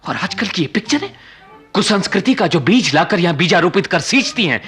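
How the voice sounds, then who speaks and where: An actor in a radio play voicing a troubled, guilt-ridden young man, injured and intense, who speaks haltingly and repeats his words. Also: high voice, sing-song, fairly bright tone, soft background music. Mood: intense, bitter. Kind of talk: Hindi radio play acting.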